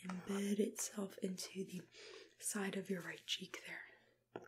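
A young woman whispering breathily and gently, reassuring the listener as she murmurs step-by-step in an ASMR dentist roleplay. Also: medium pitch, steady tone, slow. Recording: clear background noise with clicking tool sounds. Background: noise, clicks of dental tools.

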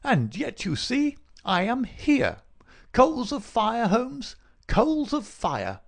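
Man putting on a sneering, high-pitched gloating villain. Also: huge pitch swings, slow, gravelly, full character voice. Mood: mocking. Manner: taunting, insistent, rhetorical questioning. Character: gloating, sneering villain visitor, cruel and mocking.

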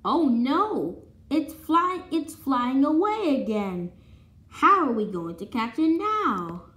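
A woman's voice: sits high, very animated, slow, a bit bright, echoey room. A woman reading a children's picture book aloud, now and then stumbling and restarting, recorded in a room at home. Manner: stumbles over words and restarts. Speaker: read-along presenter. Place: indoor room at home with wooden floor.

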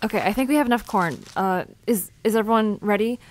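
A woman's voice: medium pitch, quick pace, background noise, natural voice. A woman acting an anxious, pleading young woman who leads her friends in an audio drama. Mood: urgent, organizing.

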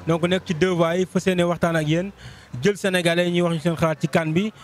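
A man's voice: sits high, little pitch movement, a little hiss or hum. Man with a handheld mic at a roadside. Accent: Senegalese. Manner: brisk questions with frequent hums of assent. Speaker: street reporter. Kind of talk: street vox pop interview. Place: busy roadside outdoors.

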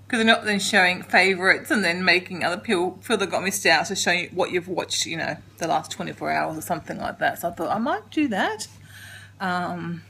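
An Australian woman in her forties talks into a handheld camera from her living room couch, rambling through her day with plenty of soft ums. Her voice is a touch husky and she sounds a little congested. It is an easy, unscripted home vlog. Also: fairly low pitch. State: congested, getting a cold. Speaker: vlogger. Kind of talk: casual daily vlog. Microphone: handheld camera held close.

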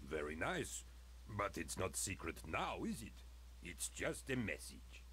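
An actor playing a grumpy, sarcastic middle-aged street sweeper in a point-and-click adventure game, talking dryly with a gruff edge. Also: male voice, low-pitched, sing-song, measured pace, fairly bright tone, slight background noise, full character voice.

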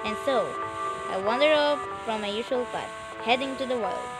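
A woman reading a visual novel aloud as she plays, with expressive, excitable delivery. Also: fairly high pitch, moderate pace, rough recording. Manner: expressive, excitable, swearing in asides. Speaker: gamer recording a playthrough. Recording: loud music over the voice. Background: loud intense game music.

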